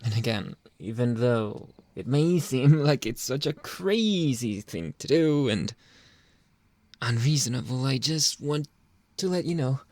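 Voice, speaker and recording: A man playing a jealous, controlling young boyfriend in an intimate ASMR roleplay, halting and pleading, often dropping toward a whisper. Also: mid-range voice, animated, a little mellow, no room sound. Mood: earnest, self-justifying. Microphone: close, dry microphone.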